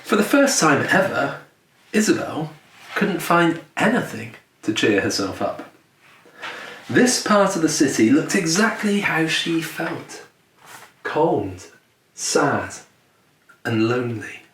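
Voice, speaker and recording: A man who is a children's author reading his picture book aloud in a gentle storytelling manner with deliberate dramatic pauses. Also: a little high, sing-song. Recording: roomy echo, distant mic, faint noise.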